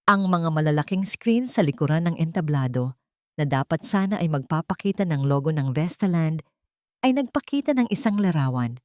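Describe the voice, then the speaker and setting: A woman with a Filipino accent narrating a dramatic story in a measured, solemn way. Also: low-pitched, animated, a little mellow, dry recording, clean studio sound. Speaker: narrator of a Tagalog audio drama.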